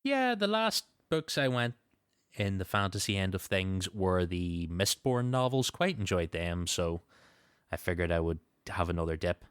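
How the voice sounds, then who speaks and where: A man's voice: deep voice, big pitch swings, normal speed, smooth, no echo, studio quality. A man hosting a podcast, moving between show announcements and casual chat. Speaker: podcast host.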